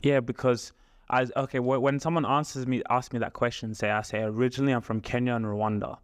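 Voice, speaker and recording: A man's voice: mid-range voice, level tone, a little fast, smooth, no echo. Young man, close dry sound. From Australia. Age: young adult. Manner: questioning, thinks aloud with examples. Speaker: podcast co-host. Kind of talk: casual conversational podcast chat.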